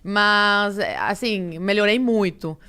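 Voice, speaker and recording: A woman's voice: medium pitch, slow pace, sharp tone, smooth. A woman in her twenties from Cuba, a native Spanish speaker with a Cuban accent, telling stories casually and acting out bits of dialogue. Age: 20s. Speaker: podcast guest. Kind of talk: casual conversational storytelling.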